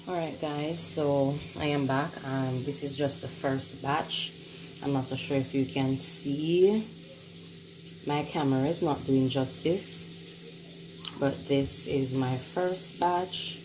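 Slow, very low-pitched woman explaining cooking. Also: roomy sound. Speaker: home cook hosting a recipe video. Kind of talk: casual cooking demonstration. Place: indoors in a home kitchen. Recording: clearly noisy home recording.

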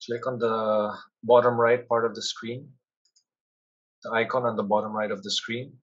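Husky man narrating a software tutorial. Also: medium pitch, level tone, moderate pace, dull audio. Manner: step-by-step explanatory. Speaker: software demo presenter. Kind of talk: instructional screen-share narration.

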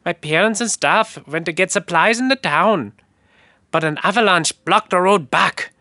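A woman's voice: lowish voice, normal speed, sharp tone, dry recording, studio quality. A woman voicing an earnest, polite young boy who runs a hotel in a children's cartoon. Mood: worried. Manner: polite, earnest, service-minded.

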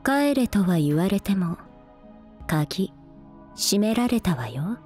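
An eerily calm, devoted senior schoolgirl in an ASMR drama. Her voice is mellow and soft, very close to the ear, and she speaks slowly with a cool deadpan. Faint music sits behind her. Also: mid-range voice. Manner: deadpan, soft-spoken, unhurried, occasionally whispery. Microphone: close binaural microphone.

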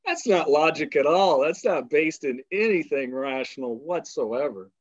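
A man's voice: a little high, lively intonation, a little slow, dry recording, dull audio. Blunt, rambling male podcast host. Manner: rambling, blunt, swears freely. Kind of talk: casual interview conversation.

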